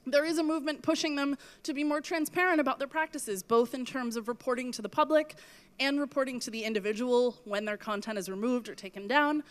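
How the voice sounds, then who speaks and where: A woman's voice: high voice, fairly quick, smooth. A woman in her thirties giving a festival talk on stage with a handheld mic, losing her voice, earnest and self-correcting. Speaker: festival speaker. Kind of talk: conference talk. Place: large festival tent stage.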